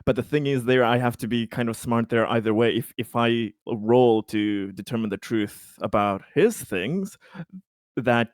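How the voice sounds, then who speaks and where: A man playing a tabletop roleplaying game, speaking in improvised dialogue with hesitant, deliberate phrasing. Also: mid-range voice, no room sound, studio quality.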